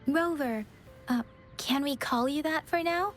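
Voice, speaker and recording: A woman playing a calm, caring young female scout in a video game, soft-spoken, measured and polite. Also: high-pitched. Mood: gentle. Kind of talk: video game character voice acting. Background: background game music.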